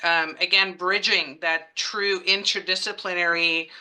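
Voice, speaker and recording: A woman's voice: on the low side, fairly even intonation, measured pace, bright, forceful tone, smooth, poor audio. A woman from the Czech Republic, a nurse and psychedelic therapist, asking a question in a rambling, enthusiastic way. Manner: enthusiastic and rambling, many "you know" fillers. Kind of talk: Q&A comment.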